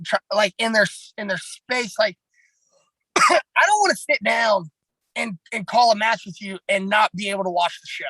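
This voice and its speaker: A man with a raspy voice, a pro wrestler talking bluntly and at length in a casual podcast chat, heavy on fillers. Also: high-pitched, bright, forceful tone, no echo, low-quality recording.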